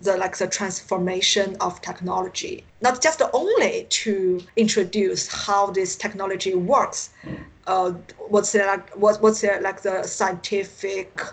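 A woman's voice: mid-range voice, creaky. Chinese woman on a skype interview, mandarin accent, stop-start, keeps repeating bits while hunting for the word. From China. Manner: halting, searching for words, repeats herself. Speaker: science fiction author, interview guest. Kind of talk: conversational interview answers. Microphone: Skype call. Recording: recorded over an internet call.

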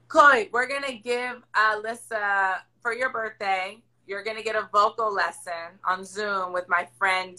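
Loud raspy-voiced woman host. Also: on the high side. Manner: loud, emphatic, encouraging. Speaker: podcast host. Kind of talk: casual chat over a video call.

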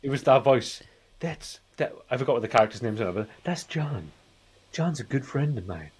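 A man's voice: mid-range voice, animated, normal speed, slightly rough. A man in his forties chatting casually as a film podcast co-host, rambling and often repeating himself. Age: 40s. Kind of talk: casual film review chat.

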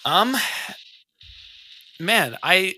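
A man's voice: high-pitched, very animated, very slow, bright, forceful tone, dry recording. A man chatting casually on a podcast, joking and swearing as he rambles. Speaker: podcast co-host and streamer.

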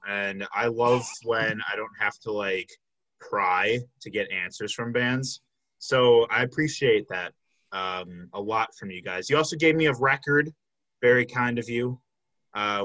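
A man's voice: fairly low pitch, bright, forceful tone, no echo, low-quality recording. A man in his twenties from the Chicago suburbs, hosting a casual interview with a band and rambling through lots of fillers. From south suburbs of Chicago. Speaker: podcast host.

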